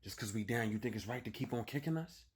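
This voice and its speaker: An actor playing a weary, aggrieved prison inmate in a dramatic monologue, muttering in terse street vernacular. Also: male voice, mid-range voice, lively intonation, quick pace, breathy edge.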